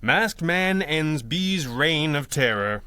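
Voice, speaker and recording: An actor playing a composed, dry-witted masked hero in a radio drama, deadpan and precise. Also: male voice, on the high side, slow pace, clean tone, dry recording, full character voice. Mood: dry, measured. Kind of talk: 1930s-style radio drama acting.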